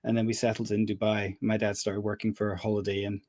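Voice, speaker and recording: Guest in his forties, grew up in the middle east, low voice, dry sound, laid back and a bit wry. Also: level tone, fast, darkish tone, no echo. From Middle East, Scottish parents. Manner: easygoing, dry humor. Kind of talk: casual interview chat.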